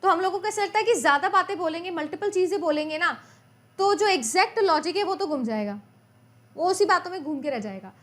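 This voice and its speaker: A woman's voice: very high-pitched, expressive, quick pace, fairly bright tone. A woman teaching an exam-prep lecture in a chatty way, often repeating her points and asking her students questions as she goes. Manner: mixes Hindi and English terms.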